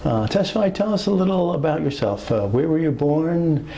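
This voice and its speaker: A man's voice: on the high side, lively intonation, normal speed, mellow, a little hiss or hum. A male interviewer asking questions hesitantly, with many fillers.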